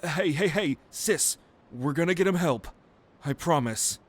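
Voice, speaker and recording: An actor playing an anxious, caring young brother, flustered and a little cheeky, in an audio roleplay. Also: male voice, a little high, lively intonation, measured pace. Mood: alarmed. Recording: clear background noise.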